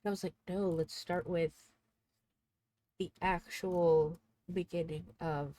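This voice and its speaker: A woman's voice: fairly low pitch, fairly even intonation, takes it slowly, mellow, no room sound. Congested chatty woman streamer. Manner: rambling, chatty, thinks aloud. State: congested, sneezing. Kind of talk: casual livestream chat.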